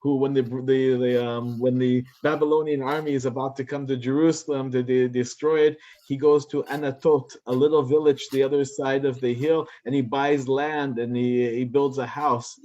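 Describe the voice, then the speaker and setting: Rabbi speaking reflectively at a middle pitch, dull lo-fi sound. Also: male voice, dark tone. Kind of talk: conversational discussion.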